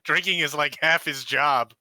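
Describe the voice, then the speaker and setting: A man's voice: fairly high pitch, moderate pace, sharp tone, no echo. A man playing in a tabletop game, crude and joking, swearing often as he talks. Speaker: player. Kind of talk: tabletop banter.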